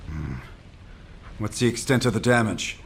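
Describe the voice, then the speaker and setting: An actor playing a grave, terse executive officer in a military video game. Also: male voice, fairly low pitch, animated, a little slow, creaky, a little hiss or hum. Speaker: military commander.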